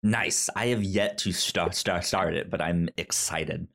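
A man's voice: sits low, unhurried, vocal fry, a bit bright. A man co-hosting a podcast, chatting casually and reacting in short, loose phrases. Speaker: podcast co-host. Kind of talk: casual chat.

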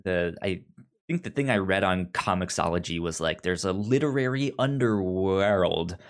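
A man's voice: low voice, animated, moderate pace. A man co-hosting a podcast in a casual book-chat style, often stammering and repeating syllables and words. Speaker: podcast co-host.

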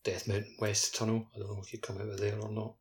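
A man's voice: on the low side, monotone, quick pace, a little hiss or hum. A man co-hosting a podcast, chatting informally and a little tentatively about playing a video game. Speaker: podcast co-host. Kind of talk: casual video game chat.

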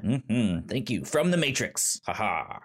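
A man hosting a casual podcast chat, talking in a halting way with many fillers and stammered restarts. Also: mid-range voice, very animated. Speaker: podcast host.

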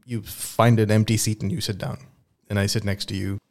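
A man's voice: lowish voice, level tone, brisk, a little mellow. A young man who grew up in Dubai, hosting a podcast and telling an imagined story in a meandering, speculative way. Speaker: podcast host. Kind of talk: casual podcast monologue.

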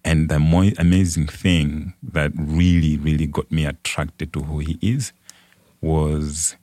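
A young man with a Malawian accent and an exceptionally deep voice. He tells his life story in a conversational way as a guest, in a dry recording with hardly any room sound. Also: little pitch movement, measured pace, a little mellow, no room sound. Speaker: podcast guest.

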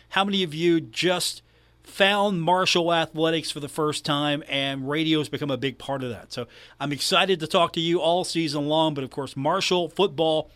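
A man's voice: on the high side, a bit bright, no echo. An American man hosting a sports talk radio show, chatting in a conversational, enthusiastic way.

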